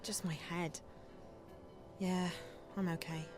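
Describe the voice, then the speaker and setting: A woman's voice: fairly low pitch, little pitch movement, slow, music in the background. A woman voicing an earnest, determined young woman in a game, sincere and a little hesitant. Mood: shaken, grateful. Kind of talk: naturalistic game voice acting.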